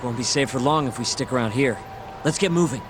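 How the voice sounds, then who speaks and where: An actor playing a breezy, quick-witted young sidekick in a video game, speaking casually. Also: male voice, medium pitch, noisy background, lightly acted. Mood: casual, alert. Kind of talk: video game cutscene acting.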